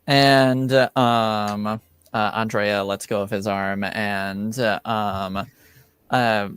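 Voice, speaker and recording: A man improvising narration as a game master for a tabletop roleplaying game, speaking haltingly with many filled pauses. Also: fairly low pitch, steady tone, fairly bright tone, clear, resonant tone, no echo.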